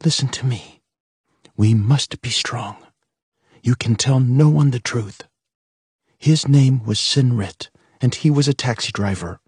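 A man's voice: mid-range voice, lively intonation, measured pace, darkish tone, husky, dry recording, clean studio sound, pitched above the performer's own voice. A man voicing a frightened, stern mother who whispers urgent warnings to her children and drills them harshly. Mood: firm.

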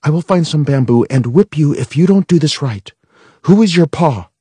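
A man's voice: mid-range voice, lively intonation, a little slow, a little mellow, breathy edge, no room sound, voice pushed up. A man voicing a frightened, stern mother who whispers urgent warnings to her children and drills them harshly.

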